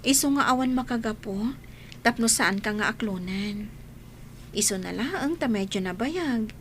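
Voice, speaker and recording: A woman's voice: a little high, lively intonation, measured pace, a little hiss or hum. A woman acting a sweet young woman in love in a romantic audio drama, with an Ilocano accent. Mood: tender.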